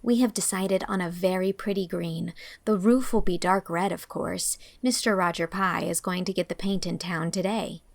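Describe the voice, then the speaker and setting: A woman voicing an earnest, dreamy young woman in a storybook, with an expressive, gently scolding manner. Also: fairly low pitch, normal speed, gravelly, hardly any pauses, dry recording. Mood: bright, chatty. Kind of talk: audiobook character acting.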